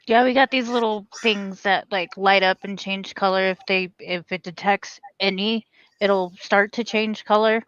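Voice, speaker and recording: Woman, mid pitch, dull lo-fi dry mic, giggly, profane co-host. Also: a little slow, dry recording. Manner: animated, laughing, swears casually. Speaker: podcast co-host, veteran. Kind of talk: casual chat.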